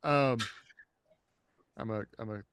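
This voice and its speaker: A man's voice: on the low side, slow, a bit bright, clear, resonant tone, dry recording. A man co-hosting a podcast in casual panel conversation. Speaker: podcast co-host.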